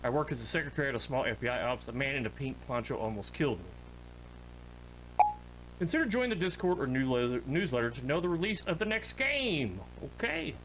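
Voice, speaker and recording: Animated man streaming a horror game. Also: medium pitch, brisk, a little hiss or hum, rough recording. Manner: joking, offhand asides. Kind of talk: casual gaming commentary, reading on-screen text aloud.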